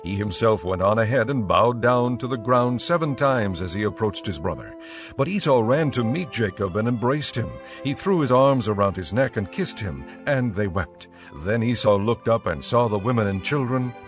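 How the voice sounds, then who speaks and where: A man narrating a dramatized audio Bible in a measured storytelling manner. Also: lowish voice, expressive, soft background music.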